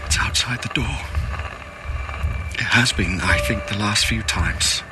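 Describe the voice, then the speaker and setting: An actor playing a frightened man recounting a recurring midnight dream in hushed, whispering tones in a radio play. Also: fairly low pitch, unhurried, close to an everyday voice. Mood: fearful. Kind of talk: radio drama acting. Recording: music underneath.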